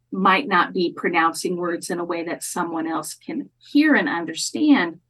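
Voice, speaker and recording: A woman community college educator speaking as a podcast guest, careful and measured, often restarting her phrases. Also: medium pitch, low-quality recording. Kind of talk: thoughtful podcast interview talk.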